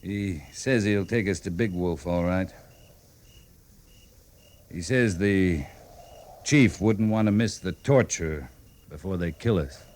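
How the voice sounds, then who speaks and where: A frontier cavalry captain in a classic radio western. His American voice is fairly low and stays measured under threat. He speaks tersely, with dry authority. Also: noisy background. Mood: grave. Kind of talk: old-time radio drama acting.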